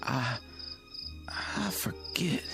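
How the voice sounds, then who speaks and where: An actor playing a lovestruck young country man with a rural Southern American accent and folksy phrasing in a radio drama. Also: extremely slow. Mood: smitten, tender. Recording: radio play with music bed.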